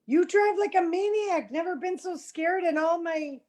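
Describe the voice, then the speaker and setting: A woman's voice: very high voice, normal speed, darkish tone. A woman playing a sardonic female professor in a radio drama reading, with dry, snippy retorts. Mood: tart, indignant.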